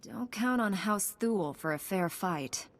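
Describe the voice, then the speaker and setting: A woman's voice: medium pitch, expressive, unhurried, in a large hall. An actress playing a principled, composed woman knight in a video game, speaking earnestly.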